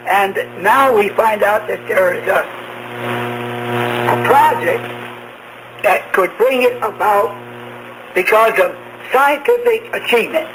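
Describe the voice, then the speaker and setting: A man with a high-pitched voice preaches about prophecy on the radio. His delivery is deliberate and emphatic, often doubling back on a phrase. The recording is a noisy shortwave broadcast with an audible hum. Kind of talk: apocalyptic prophecy sermon.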